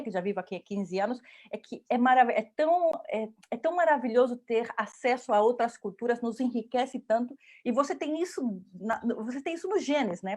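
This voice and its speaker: Enthusiastic, effusive woman from Brazil, slightly high voice; interviewer on a video call. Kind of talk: casual interview.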